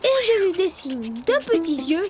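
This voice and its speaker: A woman voicing a friendly little cartoon creature who gently explains each drawing step. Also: very high voice, big pitch swings, normal speed. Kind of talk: children's cartoon acting. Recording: loud music behind.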